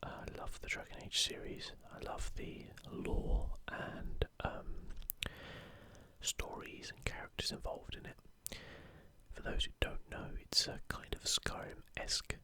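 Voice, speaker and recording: Hushed, whispered ASMR host. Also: unhurried. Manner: whispering throughout. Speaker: video host.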